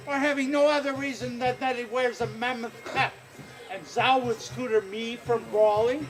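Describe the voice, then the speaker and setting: A man's voice: extremely high pitch, a bit bright, poor audio. A man playing a witty, quarrelsome pirate swordsman, trading playful insults.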